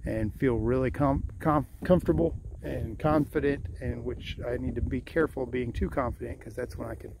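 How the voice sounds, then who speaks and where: A man at a middle pitch chats loosely from a kayak, trailing off into fillers and restarting sentences. He is recorded outdoors on a body-worn camera, and clear background noise runs under his voice throughout. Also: steady tone, brisk, few pauses. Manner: chatty, rambling with fillers and restarts. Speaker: vlogger fishing from a kayak. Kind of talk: casual first-person vlog. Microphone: point-of-view action camera on the body.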